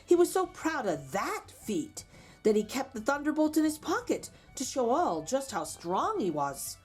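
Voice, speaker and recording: A woman reading a children's story aloud in an expressive way with dramatic emphasis. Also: sits high, a little slow, slightly rough, soft background music. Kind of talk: expressive read-aloud for children with chatty asides.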